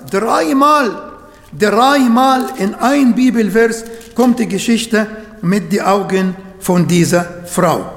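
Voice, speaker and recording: A man's voice: high-pitched, roomy sound, big-room sound. A man whose first language is Arabic, preaching a sermon in German, earnest and sometimes searching for words.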